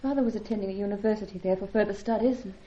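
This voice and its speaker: A woman's voice: medium pitch, rapid-fire, warm tone, lightly acted. An actress playing a helpless, anxious young woman in an old radio adventure serial, with a genteel, earnest, fretful delivery. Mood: wistful, demure. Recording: dull, lo-fi old radio recording.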